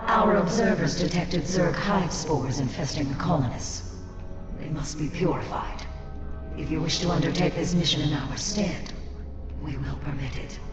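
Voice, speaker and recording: An actress playing a proud, stern alien warrior commander in a video game, speaking formally and imperiously with an echoing effect. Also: female voice, low voice, moderate pace. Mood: stern, solemn. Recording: echoey, dull audio under loud music.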